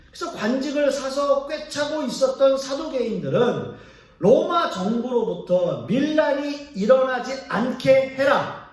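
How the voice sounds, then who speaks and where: A male pastor preaching a sermon, explaining scripture and putting questions to the congregation. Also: extremely high pitch. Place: church sanctuary. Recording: echoey church hall from far off.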